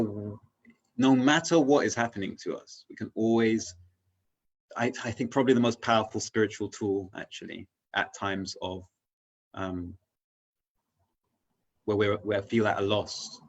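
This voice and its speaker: A man's voice: on the low side, fairly even intonation, a little slow, pauses often, muffled recording. A man chatting as an interview guest in a casual, reflective way, earnest and rambling as he works through ideas. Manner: earnest, rambling, philosophical, often checking in with "you know".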